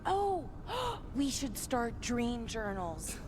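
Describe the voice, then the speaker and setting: An actress playing a gentle, curious young woman in a video game, musing and wondering aloud. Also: fairly high pitch, very animated, extremely slow, background noise, exaggerated voice. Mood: pensive, curious.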